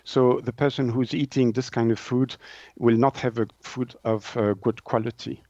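A man's voice: medium pitch, steady tone, normal speed, a little mellow, no echo, dull audio. A man from Switzerland, a native French speaker with a Swiss French accent, explaining things as an interview guest and often searching for words.

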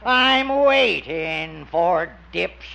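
A man's voice: high voice, lively intonation, extremely slow, creaky, sharp tone, exaggerated voice. An American man playing a skeptical small-town doctor who needles his crony, in the drawling comic style of 1950s radio. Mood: needling. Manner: needling, drawling, cackles at own jokes. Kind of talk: 1950s radio situation comedy acting. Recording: muffled, noisy old radio recording.

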